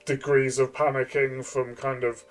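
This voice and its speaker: A man of average pitch talks through a tabletop game review in a casual, explanatory way, with faint music behind him. He is tentative and halting, often repeating words as he builds a sentence. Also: little pitch movement.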